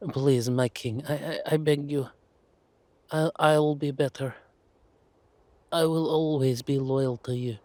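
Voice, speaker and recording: Man playing a high-voiced, stammering, cowardly duke. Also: steady tone, unhurried, pauses often, warm tone, no room sound, lightly acted. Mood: panicked pleading. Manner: stammering, pleading. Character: cowardly, grovelling, terrified duke. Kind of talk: audio roleplay acting.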